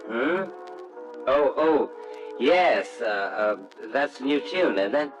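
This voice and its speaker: An actor playing a bashful but brash young reporter and eager suitor in an old-time radio drama, speaking haltingly. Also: male voice, mid-range voice, lively intonation, faint music behind, rough recording. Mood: eager, awkward. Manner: hesitant, halting.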